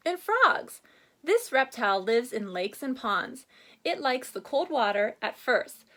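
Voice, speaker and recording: A woman with a fairly high, very animated voice teaches a reading lesson to young children. She speaks as an elementary teacher, stressing key words deliberately. She often prompts her listeners with simple questions.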